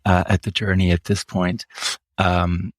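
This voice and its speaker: Man, 50s, very low voice, measured reflective interview talk, dry close sound. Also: monotone, dry recording. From Switzerland. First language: German. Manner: measured, reflective, slows down often, frequent fillers. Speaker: author guest. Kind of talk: interview answers.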